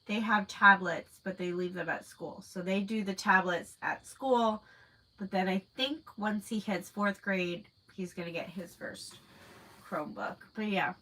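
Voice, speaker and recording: Woman, 30s, stuffy nose from a cold, slow unhurried pace, rambling chat. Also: medium pitch, fairly even intonation, pushed and bright, poor audio. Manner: chatty and rambling, many fillers. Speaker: livestream host. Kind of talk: casual live chat answering viewer comments.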